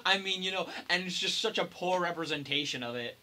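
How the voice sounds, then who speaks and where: High, bright young man chatting. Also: fairly quick. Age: young adult. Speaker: podcast co-host. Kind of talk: casual chat.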